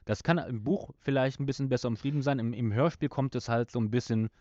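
Male podcast host, casual discussion, halting delivery, dry and slightly muffled recording. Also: medium pitch, a little mellow, no echo. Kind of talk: casual discussion of an audio drama episode. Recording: slightly dull, lo-fi sound.

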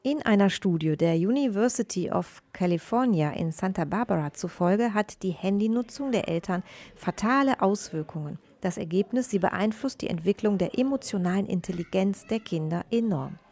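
A woman's voice: mid-range voice, expressive, normal speed, mellow. A woman presenting on radio in scripted, news-style narration.

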